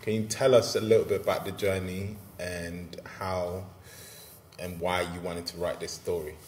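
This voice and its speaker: A man with a very low voice hosts the conversation, posing careful, measured questions. His voice rings in a roomy space with a faint background hum. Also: level tone, darkish tone. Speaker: host, artistic director. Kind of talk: interview questions. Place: large rehearsal room indoors. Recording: roomy echo, faint ambience.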